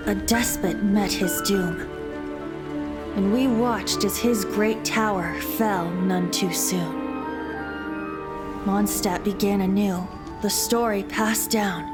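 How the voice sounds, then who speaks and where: A woman's voice: mid-range voice, natural voice. A woman voicing a playful, wistful young male bard in a video game, who talks in a lighthearted, teasing way and recites his tale in rhyming verse. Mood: recital. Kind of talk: video game cutscene voice acting. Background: game soundtrack music.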